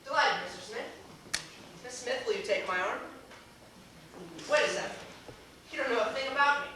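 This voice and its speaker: A man's voice: very high-pitched, normal speed. A young man acting an earnest, indignant suitor in a stage comedy, with an emphatic delivery. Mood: pleased. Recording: echoey theater, distant camera, faint hiss.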